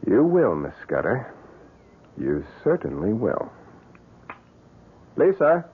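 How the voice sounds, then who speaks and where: A man's voice: on the low side, huge pitch swings, slow pace, slightly rough, full character voice. An American actor playing a scheming, evasive man in an old-time radio drama. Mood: sly, confident. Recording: tinny, band-limited old radio broadcast.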